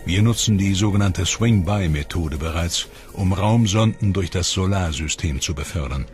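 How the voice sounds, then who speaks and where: A man reading scripted documentary narration in a measured, dramatic way. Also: low voice, darkish tone. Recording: voice-over with background music.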